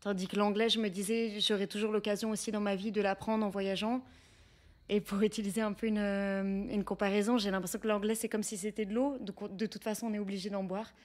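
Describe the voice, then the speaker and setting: A woman's voice: medium pitch, little pitch movement, a little fast, a little mellow. Interview guest: young woman, French accent, mid-range voice, reflective and explanatory, slightly hesitant. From France. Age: young adult. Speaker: guest, researcher, teacher and actress. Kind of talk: conversational interview answers.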